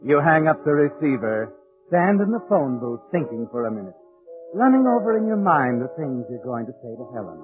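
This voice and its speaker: A man's voice: medium pitch, very animated, brisk. A man narrating a 1940s radio suspense drama in an ominous, insinuating way. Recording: old 1940s radio, narrow muffled band. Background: dramatic music.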